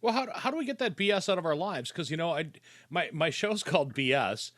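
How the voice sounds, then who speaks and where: A man's voice: on the high side, lively intonation, brisk, fairly bright tone, no echo, clean studio sound. A man hosting a podcast, asking his guest loose, rambling questions. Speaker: podcast host. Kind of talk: casual interview questions.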